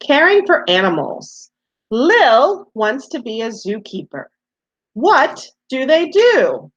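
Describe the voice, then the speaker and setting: A woman teaches young children through a read-aloud. Her voice sits fairly high and swings widely in pitch. She takes her time, keeping things simple and encouraging as she addresses her young listeners. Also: huge pitch swings, slow pace, slightly rough, muffled recording.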